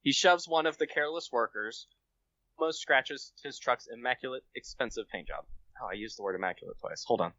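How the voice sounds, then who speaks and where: A man reads his own comic story aloud on a podcast. His voice is bright and sharp, recorded very dry and close, with a slightly lo-fi, dulled edge. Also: mid-range voice, a little fast, dry recording. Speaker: podcast guest, amateur writer.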